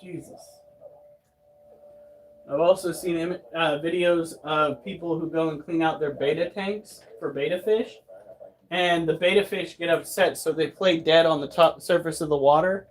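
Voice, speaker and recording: A man's voice: a little high, steady tone, moderate pace, a little hiss or hum, poor audio. A man in his thirties hosting a pet channel, talking casually and bluntly, breaking into profane rants. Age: 30s. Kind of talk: casual monologue.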